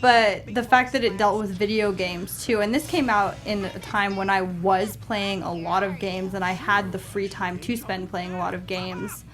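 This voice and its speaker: A woman's voice: medium pitch, fairly even intonation, moderate pace, few pauses, fairly bright tone. A woman co-hosting a podcast, chatting casually about films. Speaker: podcast co-host. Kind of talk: casual film review chat.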